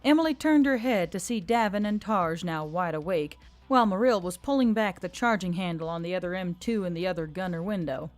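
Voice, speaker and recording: A woman's voice: on the low side, brisk, soft background music. A woman reading scripted fiction as a story narrator.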